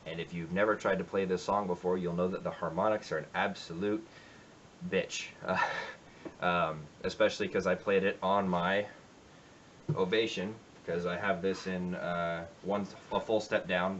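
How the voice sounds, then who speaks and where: A man's voice: sits low, fairly even intonation, normal speed, highs cut off, slight background noise. A man talking casually and reflectively in his home music room about a song he covered, with lots of fillers. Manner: rambling, reflective, many fillers. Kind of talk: casual spoken intro to a cover.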